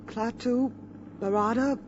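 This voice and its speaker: A woman's voice: fairly high pitch, fairly even intonation, slow pace, slight background noise. An actress playing an anxious, earnest woman caught up in danger, with breathless, emotional 1950s radio drama delivery. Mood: worried, hesitant. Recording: muffled 1950s radio broadcast.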